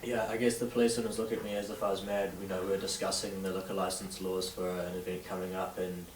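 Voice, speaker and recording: A young man in his late teens with a New Zealand accent, answering interview questions haltingly with many fillers. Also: deep voice, flat delivery, slight background noise. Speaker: interviewee, young DJ and event organiser. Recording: distant roomy camera sound with faint ambience.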